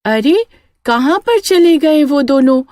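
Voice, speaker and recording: A woman's voice: high voice, expressive, slow, darkish tone, no room sound, clean studio sound, voice pushed up. A woman voicing an innocent, curious village child in a cartoon story, with an earnest manner. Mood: puzzled, earnest.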